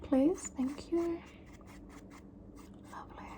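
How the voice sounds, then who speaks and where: A woman's voice: very high voice, fairly even intonation, slow, slight background noise. A woman voicing a vain, bossy wealthy mother in an ASMR roleplay, whispering close to the mic in a fussy, patronising manner and calling everyone darling. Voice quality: whispered, soft-spoken.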